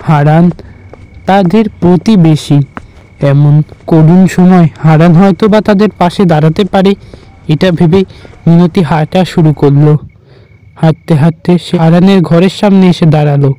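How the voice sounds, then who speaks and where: A man's voice: on the high side, steady tone, unhurried, mellow, poor audio. A man narrating a horror cartoon story in a dramatic style.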